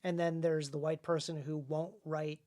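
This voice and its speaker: An American man hosts a conversational podcast discussion as an author. He thinks aloud, halting and then leaning hard on key words. His delivery is informal throughout. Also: fairly high pitch, little pitch movement, darkish tone.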